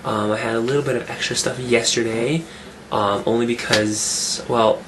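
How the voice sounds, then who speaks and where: A man talking casually in a vlog, rambling and restarting his sentences, his voice rough from a cold. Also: mid-range voice, fairly even intonation, normal speed, noisy background. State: getting over a cold, rough voice. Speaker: fitness vlogger. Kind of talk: casual weekly update vlog.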